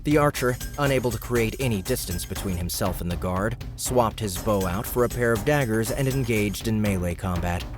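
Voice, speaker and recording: A man's voice: fairly low pitch, moderate pace, hardly any pauses, over music. A man narrating an audiobook. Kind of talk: scripted narration.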